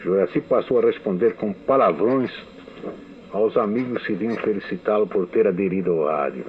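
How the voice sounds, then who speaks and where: A man from Brazil recalls his years writing radio serials, unfolding the story in a measured way with dry asides. His voice sits very low. It comes through a thin, phone-like archival radio recording. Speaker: radio novelist giving a testimony. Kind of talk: archival spoken memoir.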